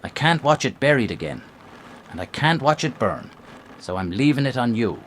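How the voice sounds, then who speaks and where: Aging noir lieutenant played by a man, medium pitch, haunted and bitter, radio drama with faint ambience behind. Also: very animated, normal speed, fairly bright tone, slight background noise. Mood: resigned, shaken. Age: older. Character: weary, bitter old male police lieutenant.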